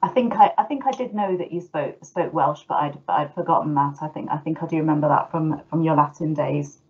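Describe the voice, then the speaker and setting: A woman's voice: sits low, fairly quick, darkish tone. A middle-aged woman hosting an online talk as a former teacher, hesitant with many restarts, over a video call. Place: indoors at home. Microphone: laptop video call. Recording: muffled video call audio.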